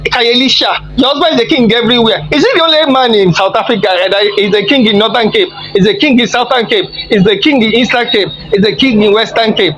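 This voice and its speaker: A woman's voice: on the high side, quick pace, hardly any pauses, over music, low-quality recording. A woman from Nigeria with a Nigerian accent, ranting sarcastically and teasingly on a social media livestream. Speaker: livestream participant. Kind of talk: mocking rant on a social media live.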